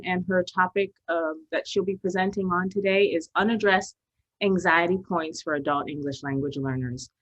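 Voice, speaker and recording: A woman acting as webinar moderator, informally welcoming attendees and handing over to a presenter, on dull webinar audio. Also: on the low side.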